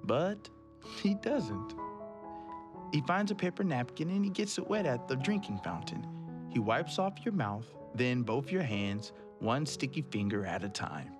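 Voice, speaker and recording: A man reads a children's story aloud at an unhurried pace, his voice rising and falling with lively expression. Loud guitar music plays behind him throughout. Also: medium pitch. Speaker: children's read-aloud host. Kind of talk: reading a picture book aloud.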